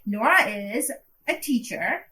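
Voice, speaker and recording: Bright-voiced woman from Pakistan. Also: on the high side, lively intonation, slow, a little hiss or hum. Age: 30s. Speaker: podcast co-host. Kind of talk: casual podcast chat.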